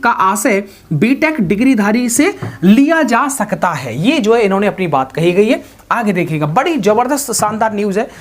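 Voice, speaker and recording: A man hosting an explanatory talk in a didactic way, mixing in English words and often checking that listeners follow. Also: extremely high pitch. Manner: mixes English words into speech. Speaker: host of a job exam news channel.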